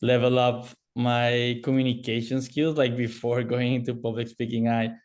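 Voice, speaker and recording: A man's voice: medium pitch, completely flat delivery, normal speed, darkish tone. A man from Colombia with a Colombian Spanish accent, a guest speaking carefully with many fillers on a video call.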